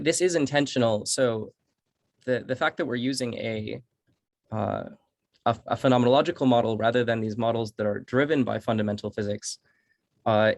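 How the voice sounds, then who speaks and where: A man speaking as a researcher at an online academic seminar, explaining in halting phrases with repeated words. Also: medium pitch, creaky, dry recording. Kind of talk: academic presentation and answering questions.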